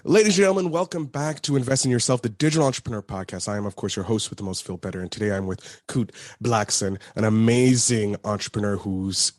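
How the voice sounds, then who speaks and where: A man's voice: lowish voice, fast, hardly any pauses, a little mellow, no room sound, clean studio sound. A man hosting a podcast in an upbeat, loose way, stumbling a little over his words. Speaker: podcast host. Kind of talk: casual interview hosting.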